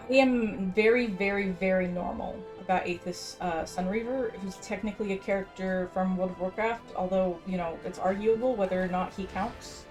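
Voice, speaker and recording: A woman's voice: mid-range voice, steady tone, normal speed, a little mellow. A woman hosting a gaming video, commenting casually with a sarcastic, sweary, exasperated manner. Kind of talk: casual game commentary, reading quest text aloud. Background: game music.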